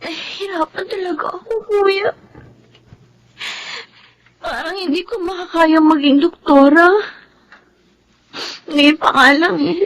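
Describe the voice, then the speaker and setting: A woman's voice: high voice, a bit bright, poor audio. An actress playing a sickly, timid schoolgirl who pleads hesitantly in a radio drama. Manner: plaintive, hesitant. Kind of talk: Filipino radio drama acting.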